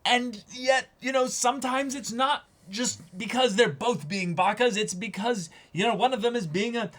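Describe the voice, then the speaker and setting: A man co-hosting an anime reaction show, talking casually and haltingly, often repeating words as he thinks aloud. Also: extremely high pitch, fairly bright tone. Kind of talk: casual discussion of an anime episode.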